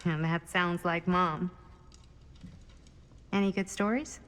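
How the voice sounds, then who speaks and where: An actress playing a grieving, searching young American woman in a video game cutscene, with a husky, creaky, breathy voice and halting delivery. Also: fairly low pitch, steady tone, fairly bright tone, slight background noise. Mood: softened. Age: young adult. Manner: hesitant, halting speech. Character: grieving, searching young woman who misses her mother.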